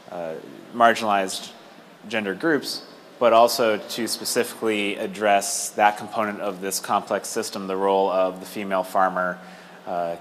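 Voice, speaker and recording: A man giving a measured readout at a meeting over a microphone, pausing between short phrases. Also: lowish voice, fairly bright tone. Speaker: roundtable participant reporting back. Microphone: amplified meeting microphone. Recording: faint background room noise.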